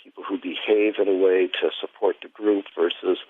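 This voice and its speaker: Male guest, low voice, thin phone-line sound, thoughtful and halting explanations. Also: flat delivery. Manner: halting, thoughtful phrasing in short pieces. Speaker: interview guest. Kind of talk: reflective conversational explanation. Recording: thin, narrow telephone line.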